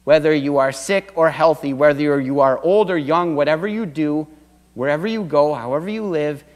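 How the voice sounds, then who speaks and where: A man's voice: a little high, normal speed. A middle-aged man preaching a sermon from a church pulpit, earnest, with swells of emphasis. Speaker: pastor. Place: church sanctuary at a wooden pulpit. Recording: long church reverb.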